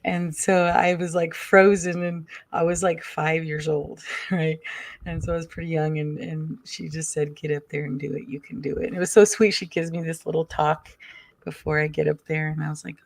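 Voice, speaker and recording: Woman guest on a video call, soft-spoken and halting, low gravelly voice. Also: level tone. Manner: soft-spoken, hesitant with many ums. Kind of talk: casual storytelling chat.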